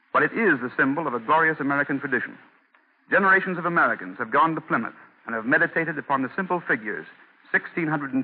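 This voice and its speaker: A man reading formal, oratorical scripted narration in 1940s radio style. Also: mid-range voice. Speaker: historical advisor, narrator. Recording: tinny 1940s radio recording.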